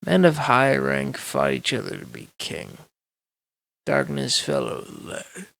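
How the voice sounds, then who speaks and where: Male bedtime storyteller: heavy vocal fry, highly animated intonation, dramatic and measured. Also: no echo. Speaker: storyteller reading aloud.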